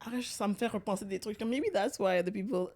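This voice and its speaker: Woman, mid pitch, breezy slang, casual podcast co-host. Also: brisk, darkish tone. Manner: breezy, slangy, mixes French and English. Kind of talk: casual chat.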